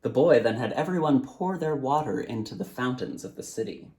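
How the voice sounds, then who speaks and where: Expressive man narrating a storybook. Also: medium pitch, fairly quick. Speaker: author reading own book aloud.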